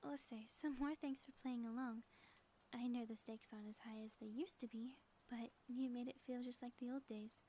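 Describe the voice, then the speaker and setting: A high, mellow female voice acted out by a woman plays a sappy yet theatrical ex-villain wife in an ASMR roleplay. She is breathy and teasing, drifting toward whispers, with faint noise behind her. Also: fairly even intonation, normal speed, natural voice. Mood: playful, grateful. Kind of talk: intimate ASMR audio roleplay.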